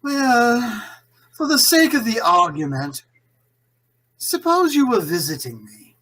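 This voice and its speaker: Husky, swooping, theatrical crime boss performed on stage. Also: medium pitch, sing-song, slow pace, a bit bright, full character voice. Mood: sly, amused. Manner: suave, gloating, condescending, frequent chuckling. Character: Mister Carroll, scheming imprisoned male crime boss, theatrical and condescending. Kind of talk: 1940s radio acting.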